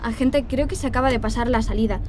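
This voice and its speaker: A woman's voice: fairly high pitch, fast talker, natural voice. An actress playing a wary, nervous young woman being lured away, in an audio drama with an anxious, hesitant manner. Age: young adult. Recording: noisy outdoor street and car ambience.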